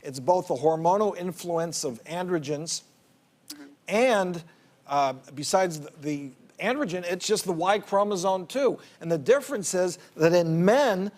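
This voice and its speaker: A man's voice: sits high, lively intonation, measured pace, darkish tone. Man doctor explaining step by step. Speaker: doctor on a TV show. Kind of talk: explaining medicine to a lay audience. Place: TV studio.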